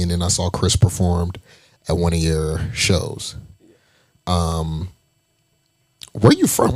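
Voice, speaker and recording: A man's voice: low voice, creaky, darkish tone, dry recording. A man from Las Vegas hosting a podcast, talking in a loose, hyped way with profane slang. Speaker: podcast host. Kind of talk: casual podcast interview.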